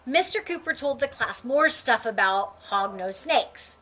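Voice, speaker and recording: A woman storyteller with a high, bright voice reads a children's book aloud. She takes her time and gives phrases expressive, dramatic emphasis, narrating as the story's young character. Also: measured pace.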